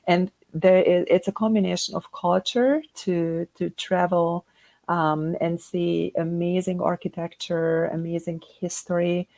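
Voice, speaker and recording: A middle-aged woman from Hungary, a guest chatting casually in an interview, upbeat and quick to laugh, often repeating words as she starts a sentence. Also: fairly low pitch, level tone, creaky, darkish tone, no room sound.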